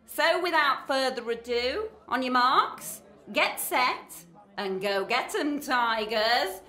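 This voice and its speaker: A bubbly, bossy female hostess played by an actress in a radio comedy play. Her voice is high and bright, and she announces at a slow, showy pace with playful pauses in an echoing room. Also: animated, faint music behind, exaggerated voice. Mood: perky, teasing. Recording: roomy, echoing sound.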